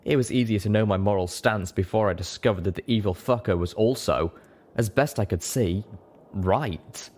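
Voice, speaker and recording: A man with a low voice narrates a work of fiction. He reads in the first person, in a dramatic storytelling style suited to an audiobook. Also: normal speed, a little mellow. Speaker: story reader.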